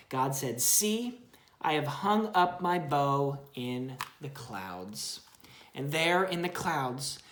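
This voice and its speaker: A woman's voice: low-pitched, roomy sound. A woman reading a children's Bible story aloud expressively, chatting with a young child and asking simple questions.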